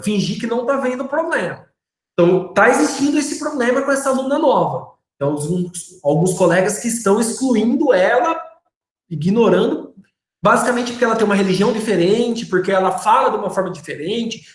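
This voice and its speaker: A man with a Brazilian Portuguese accent teaching a religious education class online, speaking patiently and checking in with his students often. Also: sits high. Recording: roomy echoey room sound.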